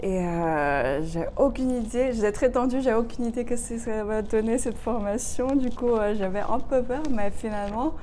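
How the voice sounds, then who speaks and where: Woman, halting careful french, bit of noise in the back. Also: a little high, warm tone. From Hong Kong. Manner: careful, halting non-native phrasing. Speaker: project manager in training. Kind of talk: testimonial interview.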